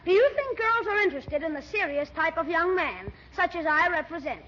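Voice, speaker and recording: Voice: very high voice, lively intonation, fairly quick, sharp tone, heavily acted. A performer playing a cheeky, eager, wisecracking young nephew in a 1940s radio comedy. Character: cheeky, quick-witted young boy, helpful nephew. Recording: dull, noisy 1940s radio recording.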